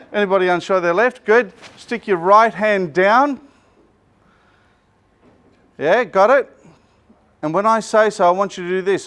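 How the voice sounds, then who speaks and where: A middle-aged Australian man, a business coach, running a joking, energetic seminar and quizzing his audience, speaking without a microphone to the room. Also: high voice, slight background noise. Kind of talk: lively workshop presentation to a live room.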